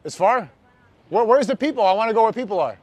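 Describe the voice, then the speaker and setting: A man's voice: high voice, lively intonation, quick pace, highs cut off. Slangy man livestreaming on city streets. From New York. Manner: loose, slangy, talks to chat and strangers. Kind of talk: casual street livestream chat.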